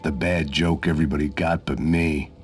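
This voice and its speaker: An actor playing a world-weary, cynical middle-aged American ex-cop in a noir video game, with a sardonic, terse delivery. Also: male voice, rumbling low, level tone, moderate pace. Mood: bitter, brooding. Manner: sardonic, hard-boiled, terse. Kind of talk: noir game dialogue and narration. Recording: loud music behind the voice.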